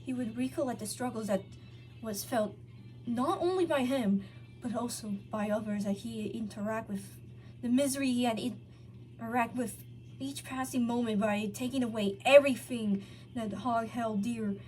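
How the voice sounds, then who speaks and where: A woman's voice: on the high side, normal speed, darkish tone, soft background music, rough recording. A woman reading fan fiction aloud as a narrator, sometimes stumbling over words and correcting herself. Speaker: story reader.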